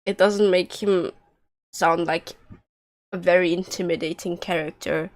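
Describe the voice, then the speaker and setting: A woman's voice: on the low side, steady tone, measured pace. A woman giving casual, joking and sarcastic livestream commentary as she plays a video game.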